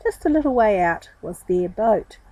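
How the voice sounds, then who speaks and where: Woman, children's storyteller, dramatic read-aloud, highly varied pitch, soft mellow tone, slightly husky. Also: lively intonation, moderate pace, no echo, low-quality recording. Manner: dramatic, addressing children directly.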